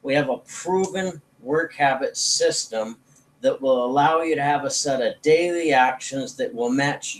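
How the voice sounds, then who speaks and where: A man's voice: medium pitch, poor audio. A man giving an informal sales presentation as a network marketing promoter, talking in a folksy, persuasive way.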